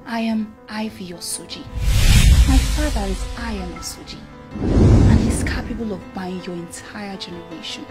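An actress playing a rich young bride, haughty and demanding. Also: female voice, medium pitch, moderate pace, over loud music, close to an everyday voice. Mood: defiant. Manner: haughty, demanding, then pleading.

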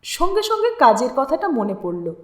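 A woman narrating a literary story in an expressive, deliberate, dramatic way with lingering pauses. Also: high-pitched. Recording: roomy, echoing sound.

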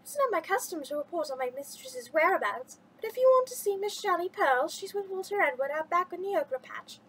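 A woman reading a storybook aloud, voicing a sly, sweet-talking female cat with a soft purr. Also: very high voice, animated, a little fast, a little hiss or hum, pitched above the performer's own voice. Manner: soft purring.

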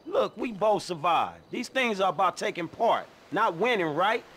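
An actor playing a cocky, flirty, quick-tempered younger man in a video game cutscene, needling and indignant. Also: high voice, very animated, a bit bright, slight background noise.

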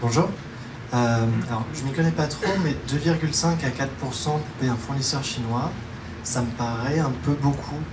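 A man's voice: medium pitch, moderate pace. A man asking a casual, spontaneous question from a meetup audience. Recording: distant, echoey hall, room noise.

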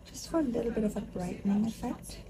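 A woman's voice: a little high, fast, heavy background noise. A woman from Austria who is a makeup artist, chatting enthusiastically while she does someone's makeup in a living room. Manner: chatty, enthusiastic, talks while working with her hands. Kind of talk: casual chat while doing makeup.

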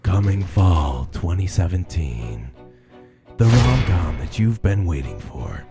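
A young man voicing a deep movie trailer announcer over music, exaggerating the drama. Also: big pitch swings, slow pace, creaky, heavily acted. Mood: dramatic.

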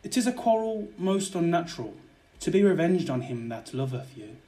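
A man's voice: a little high, very animated, normal speed, dark tone. A young man playing a scheming, murderous nobleman in a verse audio drama, wooing ardently and persuasively. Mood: coaxing. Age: young adult. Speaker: student actor.

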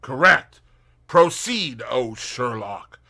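A man's voice: mid-range voice, very animated, slow, bright, forceful tone, heavily acted. A man playing a cheerful teasing young man. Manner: bantering, mock-pompous. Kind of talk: audiobook character reading.

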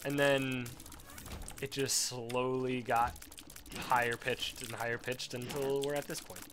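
A man's voice: mid-range voice, flat delivery, a little slow, background noise. A man of twenty-one with a sore throat, rambling and self-deprecating as he commentates a game, swearing at it now and then. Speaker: let's play commentator. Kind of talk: casual gameplay commentary.

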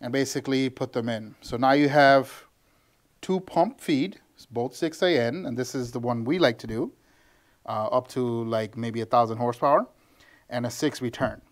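A man's voice: mid-range voice, moderate pace. Man casually explaining a parts setup. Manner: loose and conversational, with frequent ums and restarts. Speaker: tuning shop mechanic. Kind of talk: casual how-to walkthrough. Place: small office with bare walls, indoors. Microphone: handheld camera close to face.

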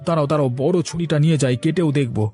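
A man plays a blustery, easily startled village husband for a children's cartoon. He grumbles and exclaims in lively bursts over background music. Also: fairly high pitch, quick pace, lightly acted. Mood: astonished. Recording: music behind the voice.

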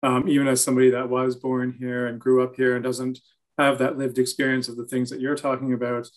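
Young male moderator, mid pitch, measured delivery, video call. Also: monotone, low-quality recording. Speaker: gallery talk host. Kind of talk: moderating an interview. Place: room with bookshelves.

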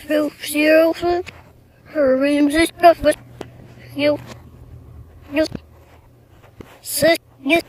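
A woman's voice: high voice, fairly even intonation, drawn-out words, long pauses, low-quality recording. A woman speaking in a recording. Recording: loud noise, clicks throughout.

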